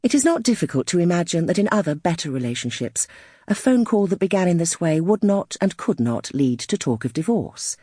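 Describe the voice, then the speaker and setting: A woman narrating first-person literary fiction as an audiobook, in a wry, expressive storytelling manner. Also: on the low side, fairly quick, slightly rough, no room sound, studio quality.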